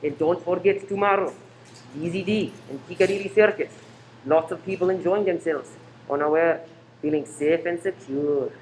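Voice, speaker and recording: A man playing a menacing spy ringleader in a radio play, measured and scornful as he gives orders. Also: a little high, natural voice. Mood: smoothly menacing. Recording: noisy room recording.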